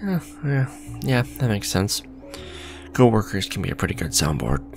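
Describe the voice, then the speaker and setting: Casting: male actor for smug businessman villain, romantic ASMR drama; gravelly fry, expressive intonation, dry conversational wit, faint music underneath. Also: on the low side, moderate pace. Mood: casual, warm. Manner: sardonic, conversational, sighing and teasing. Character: smug male villain, suave luxury fashion businessman on a date.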